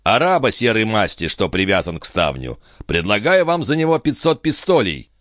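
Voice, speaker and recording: A brash male viscount from a storybook, acted out by a male reader, insolent and used to giving orders. His pitch swings boldly as he barks, and the sound is close and dry. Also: big pitch swings, normal speed, fairly bright tone, no room sound. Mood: brisk, insolent. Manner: barking, insolent, commanding. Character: brash, cool-headed, overbearing male viscount, quick to threaten.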